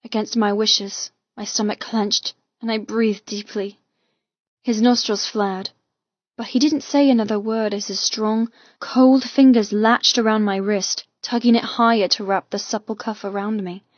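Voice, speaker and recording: A woman reading a first-person romance audiobook with intense, expressive, dramatic delivery. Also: mid-range voice, a little slow, dry recording, muffled recording. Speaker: audiobook narrator.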